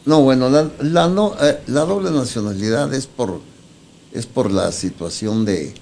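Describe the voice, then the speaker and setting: A man's voice: medium pitch, lively intonation, a little slow, vocal fry, a little mellow, slight background noise. A man with a Mexican accent hosting a radio show in casual talk, rambling and repeating himself. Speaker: radio host.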